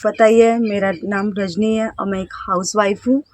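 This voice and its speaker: A woman in her thirties from India, a housewife telling her life story to camera in a halting, hesitant way. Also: medium pitch, flat delivery, a little mellow, slight background noise. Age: 30s. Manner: hesitant, halting, with self-conscious laughs and trailing phrases. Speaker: vlogger, housewife. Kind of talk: casual personal storytelling to camera.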